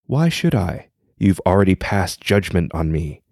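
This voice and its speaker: A man's voice: low voice, unhurried, vocal fry, dry recording, clean studio sound, voice pushed down, heavily acted. A man doing a wry old mystic. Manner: calm and wry.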